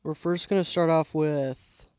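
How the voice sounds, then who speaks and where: A young man giving casual, self-talking commentary while building with LEGO, losing his voice and clearing his throat now and then. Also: fairly high pitch, measured pace, no echo. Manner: relaxed, mutters to himself while building.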